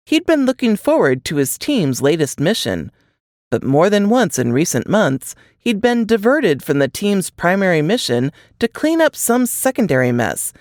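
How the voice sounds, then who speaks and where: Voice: mid-range voice, dry recording, clean studio sound. A narrator reading a suspense novel aloud in scripted audiobook style.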